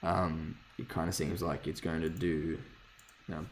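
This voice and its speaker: A man with a hoarse voice casually thinking aloud while reviewing trading charts, rambling, swearing freely and correcting himself. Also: extremely low pitch, level tone, a little slow, slight background noise. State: hoarse, lost voice from screaming. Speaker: forex trader reviewing charts.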